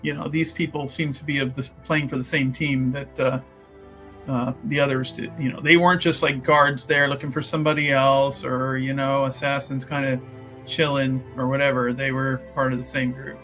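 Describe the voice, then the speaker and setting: A man's voice: mid-range voice, little pitch movement, moderate pace, pushed and bright, faint music behind. A man playing a tabletop game, asking questions and rambling with many restarts. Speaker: player. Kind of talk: casual tabletop game chat.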